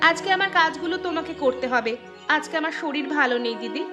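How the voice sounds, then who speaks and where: A woman voicing a sharp-tongued, quarrelsome younger village housewife in a cartoon, scolding and snappy. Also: high-pitched, fast, music in the background, natural voice. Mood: scolding, indignant. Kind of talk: Bengali cartoon fairy-tale dubbing.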